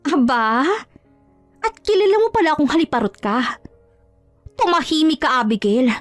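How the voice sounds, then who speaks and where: Woman playing wronged wife, raised pitch, anguished, accusatory, emphatic, audio drama, close dry recording. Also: sing-song, moderate pace, no room sound, faint music behind. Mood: furious. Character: betrayed wife, furious, anguished, accusing, confronting cheating husband.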